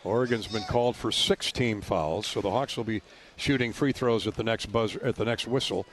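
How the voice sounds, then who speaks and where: A man's voice: lowish voice, fairly quick. A man doing live radio play-by-play of a basketball game in a folksy, colorful way. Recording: loud arena noise behind courtside radio call. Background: ball bounces and gym ambience.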